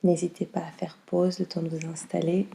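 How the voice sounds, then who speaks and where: A woman guiding a yoga nidra meditation in a calm, soothing, unhurried way with long pauses. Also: fairly low pitch, creaky. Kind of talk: slow guided meditation.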